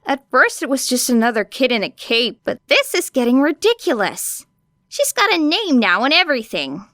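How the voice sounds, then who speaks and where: A woman's voice: sits high, sing-song, normal speed, fairly bright tone, dry recording, studio quality, pitched above the performer's own voice, heavily acted. A woman voicing an easygoing, teasing male detective in a lifted, friendly voice.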